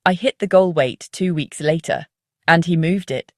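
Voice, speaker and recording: A woman reading a scripted first-person story as the narrator of a dark psychological tale. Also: lowish voice, normal speed, no echo, clean studio sound.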